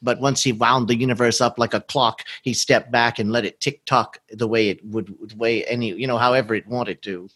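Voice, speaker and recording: A man's voice: mid-range voice, fairly even intonation, fairly quick, few pauses, a bit bright, breathy edge. A man moderating a book group in casual conversation, talking in a rambling, reassuring way.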